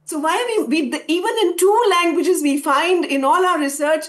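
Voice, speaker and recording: A woman professor arguing on a studio panel, with a high voice. She makes her case forcefully and drives her points home with rhetorical questions. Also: moderate pace. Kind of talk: impassioned debate argument.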